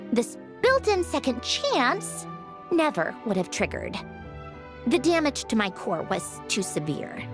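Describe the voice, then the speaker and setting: Dry, analytical young automaton. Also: female voice, on the high side. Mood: wry. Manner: measured, explanatory, slightly sardonic. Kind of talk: video game voice acting. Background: game soundtrack music.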